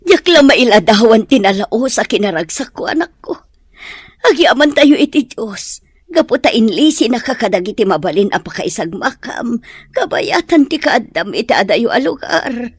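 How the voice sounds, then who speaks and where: High, husky-voiced doting mother played by a woman. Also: big pitch swings, moderate pace, dry recording, exaggerated voice. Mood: tender relief. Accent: Ilocano. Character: loving, worried mother of a grown son. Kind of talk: Ilocano radio-style melodrama acting.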